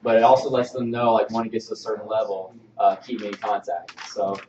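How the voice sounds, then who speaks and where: Halting male trainer in a roomy space. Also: fairly low pitch, fairly even intonation, a little mellow, a little hiss or hum. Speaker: mortgage loan officer giving staff training. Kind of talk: informal presentation of email templates. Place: indoor training room with projection screen. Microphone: far camera microphone. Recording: distant, roomy, slightly dull mic.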